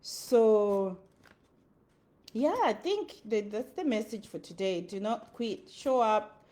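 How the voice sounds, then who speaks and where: A woman's voice: medium pitch, expressive, slow, warm tone. A woman with a Zimbabwean accent giving an earnest motivational talk, repeating her phrases for emphasis. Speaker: motivational speaker. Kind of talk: motivational monologue.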